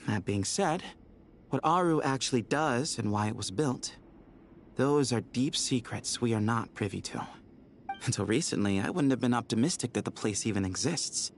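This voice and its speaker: A man's voice: mid-range voice, a little hiss or hum, close to an everyday voice. A man playing an earnest, articulate young scholar who explains things in a video game.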